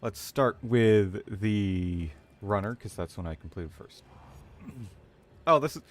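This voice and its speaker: Animated, low-voiced man streaming. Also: slow pace. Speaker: streamer and lore reader. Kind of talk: casual game stream chat mixed with dramatic reading.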